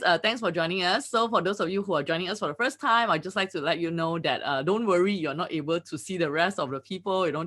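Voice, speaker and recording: Bright, chatty woman hosting. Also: fairly low pitch. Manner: chatty and upbeat, heavy with fillers like "you know, like, uh". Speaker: webinar host. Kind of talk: hosting a live online webinar.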